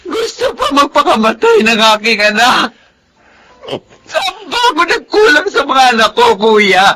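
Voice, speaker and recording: A woman's voice: high-pitched, big pitch swings, unhurried, fairly bright tone, slight background noise, full character voice. A tearful, grief-stricken older mother. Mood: anguished wailing. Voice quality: tearful, wailing delivery. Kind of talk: melodramatic radio drama acting.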